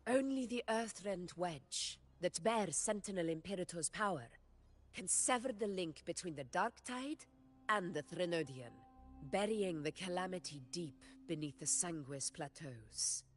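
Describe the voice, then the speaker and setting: A woman playing a composed, solemn warrior leader with measured authority in a fantasy game. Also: lowish voice, animated. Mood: solemn, resolute. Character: composed, commanding female warrior leader briefing a hunt. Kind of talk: scripted fantasy game dialogue.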